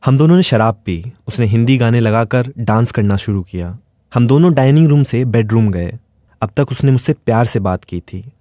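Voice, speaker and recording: A man's voice: medium pitch, normal speed, warm tone, dry recording, studio quality. A man reading a scripted first-person dramatic story as its narrator.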